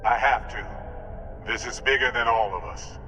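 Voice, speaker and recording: An actor playing an earnest, protective otherworldly emissary in a video game, speaking gravely and sincerely. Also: male voice, medium pitch, moderate pace, bright, forceful tone, lo-fi sound, soft background music, lightly acted. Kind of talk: dramatic video game acting.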